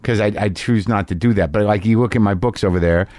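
The man across the microphone on a long-form interview podcast, blunt and curious, his low voice close and dry. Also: fast, darkish tone, dry recording. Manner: inquisitive, blunt, informal. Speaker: interview host. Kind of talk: casual conversational interview.

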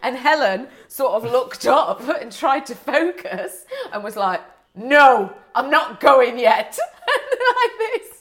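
A British woman with a fairly high, highly expressive voice co-hosts a podcast. She chats casually and comically, telling gossipy stories with animation and throwing in theatrical exclamations. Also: takes it slowly. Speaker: podcast host. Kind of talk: casual comic chat between friends.

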